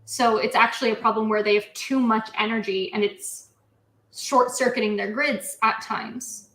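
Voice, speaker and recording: A woman's voice: fairly high pitch, steady tone, moderate pace, fairly bright tone. Giggly Midwestern woman in an echoey room. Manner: giggly, talks through laughs. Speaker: live stream host. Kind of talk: casual chat.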